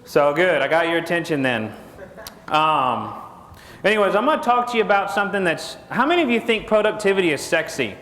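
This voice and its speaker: A middle-aged Texan man speaks to a conference audience in a folksy, joking way and keeps throwing questions at them. His voice sits a bit high for a man. A lapel mic picks him up in a large hall with a long, echoing reverb. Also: big pitch swings, brisk, a bit bright. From Texas. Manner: folksy, jokey, asks the crowd questions. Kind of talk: joking seminar talk to an audience.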